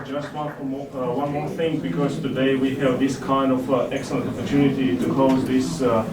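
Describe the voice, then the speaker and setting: A man with a Slovenian accent giving a formal reception speech as ambassador, halting with frequent "uh" pauses. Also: mid-range voice, level tone. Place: large hotel reception hall. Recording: echoey hall from far off with room noise.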